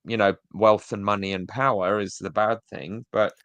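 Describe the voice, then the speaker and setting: A man's voice: lowish voice, steady tone, normal speed, fairly bright tone, clean tone, dry recording, dull audio. A man co-hosting a video-call show, giving blunt, sardonic commentary on church talks. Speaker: co-host reviewing church talks. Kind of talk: casual critical commentary.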